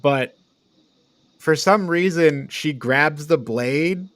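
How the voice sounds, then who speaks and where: A man's voice: a little high, drawn-out words, a bit bright, no room sound. A man co-hosting a podcast, chatting casually and informally as he retells and reviews a movie in loose paraphrase. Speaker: podcast host.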